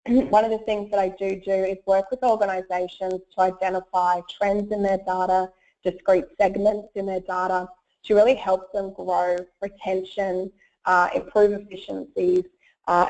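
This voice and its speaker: A woman presents over an online meeting, her voice gravelly and croaky with a cold. She talks in a friendly way, with plenty of ums and uhs. The call audio is dull and lo-fi. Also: medium pitch, darkish tone. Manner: friendly, with frequent fillers. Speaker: webinar presenter, solutions consultant. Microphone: web conference audio. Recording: muffled lo-fi web call audio.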